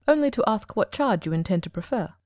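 A woman's voice: mid-range voice, lively intonation, quick pace, darkish tone, no room sound, lightly acted. A woman voicing a coolly self-assured male detective genius, precise and formal, in a dramatic audiobook. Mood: assured, dry. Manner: crisp, formal, commanding.

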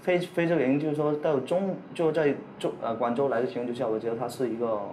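A young man, a businessman in an office, answering an interview haltingly and often repeating phrases. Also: medium pitch, steady tone, dark tone, a little hiss or hum. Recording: roomy indoor sound.

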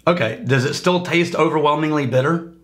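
A man in his thirties hosting a casual whiskey tasting, joking and lecturing with lively gestures in a home bar. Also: on the high side, brisk. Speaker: whiskey reviewer and teacher. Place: home bar room lined with bottle shelves.

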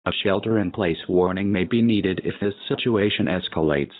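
A low male text-to-speech voice reads an emergency broadcast in a flat, steady delivery. The sound is dry and close, and somewhat dull and muffled, like broadcast audio. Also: normal speed, no echo. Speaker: emergency alert announcer. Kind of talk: synthetic emergency alert reading.

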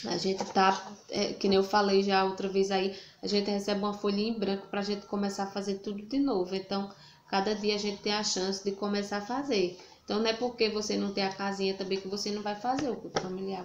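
A woman in her thirties speaks in an earnest, chatty way into a phone camera, keeping her pitch flat and level. The small room around her rings with echo, and a faint hum sits underneath. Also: muffled recording. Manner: earnest, repeats "né?" and "tá bom?" often. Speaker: family vlogger. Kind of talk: casual devotional vlog talk. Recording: echoey room, faint ambient noise.

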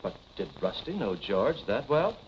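An actor playing a probing, somewhat hesitant questioner in a 1940s film drama. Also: male voice, fairly low pitch, very animated, moderate pace. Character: probing man questioning a woman about a death. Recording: dull, noisy 1940s film soundtrack.

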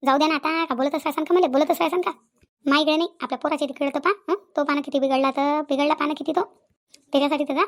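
A woman's voice: high-pitched, fairly even intonation, fast talker, close to an everyday voice. A woman playing a middle-aged, insistent village sister-in-law in a Marathi cartoon comedy, with a Vidarbha accent, coaxing and scolding repetitively. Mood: firm persuading. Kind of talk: cartoon family comedy dialogue.

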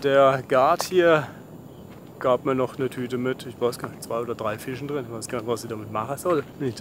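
A man giving casual, laid-back travel commentary with dry, colloquial wit. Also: mid-range voice. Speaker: travel vlogger. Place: on the move, in a vehicle and outdoors. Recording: clear outdoor and vehicle noise.

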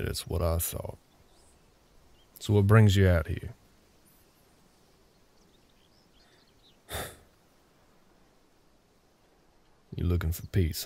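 A man playing a gruff but caring older cowboy ranch hand in an intimate audio roleplay, with a low gravelly voice and a terse, unhurried drawl. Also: warm tone, dry recording. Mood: probing, blunt. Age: middle-aged. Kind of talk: intimate ASMR roleplay acting.

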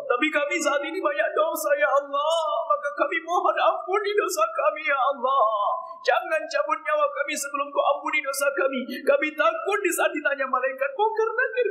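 A middle-aged man leading an impassioned Friday sermon prayer, pleading fervently and swinging from low murmurs to shouted cries, recorded on a headset mic at a mosque pulpit. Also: rough recording. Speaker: Friday preacher.

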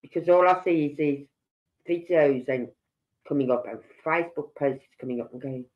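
A middle-aged man, slow and rambling as he hosts a livestream chat with a head cold and sore throat. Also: a little high. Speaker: livestream host. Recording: dull lo-fi sound.

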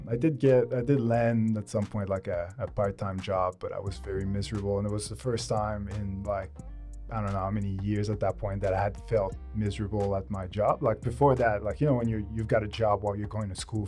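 A man's voice: lowish voice, little pitch movement, fairly quick, darkish tone, soft background music. A man in his thirties from Canada, chatting casually as a podcast guest with a loose, rambling manner and many fillers, on a close studio mic in a small home room. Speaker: podcast guest, sports videographer. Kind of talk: casual interview chat. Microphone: studio microphone on a boom, close.

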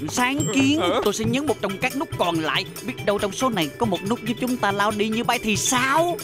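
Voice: mid-range voice, animated, fairly quick, natural voice. An actor playing an eager, inventive skinny man in a children's cartoon dub, exclamatory in delivery. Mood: urgent, eager. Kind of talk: Vietnamese-dubbed children's cartoon acting. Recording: loud music behind the voice.

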